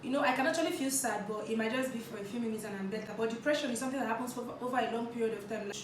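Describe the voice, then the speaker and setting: A woman's voice: fairly high pitch, racing, distant mic, noisy background. Chatty woman in an echoey room. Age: 20s. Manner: chatty, giggly, talks between crunchy bites. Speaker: vlog host. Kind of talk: casual talk while eating on camera. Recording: echoey room, set back from the mic.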